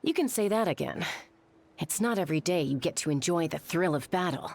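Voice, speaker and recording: A woman's voice: fairly low pitch, animated, brisk, a little mellow. A woman voicing a bold, self-assured warrior in an anime game. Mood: satisfied. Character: bold, confident warrior woman who relishes battle.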